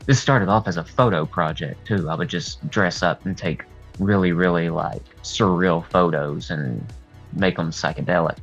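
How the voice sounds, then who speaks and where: A man with a husky voice talking candidly and at rambling length as a guest in a casual interview. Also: low voice, moderate pace. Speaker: horror artist and video creator, interview guest. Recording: dull lo-fi sound, music behind. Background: music.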